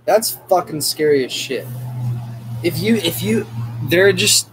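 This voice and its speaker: Rambling, profane podcast host. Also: male voice, on the high side, lively intonation, a little slow, noisy background. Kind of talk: casual podcast chat.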